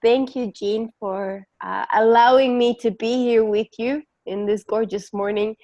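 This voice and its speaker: Relaxed woman from Belgium, dry close sound. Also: fairly high pitch, measured pace, dry recording, lo-fi sound. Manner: switches between English and Spanish, translating herself, relaxed with little laughs. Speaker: guest, life coach and translator. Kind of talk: bilingual live stream conversation. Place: home in the Caribbean, morning.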